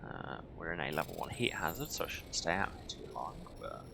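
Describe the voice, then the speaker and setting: A man's voice: deep voice, lively intonation, a little fast, creaky, background noise. A man giving casual, live gameplay commentary as a streamer, with a dry, sarcastic manner. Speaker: video game streamer. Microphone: streaming mic with headphones.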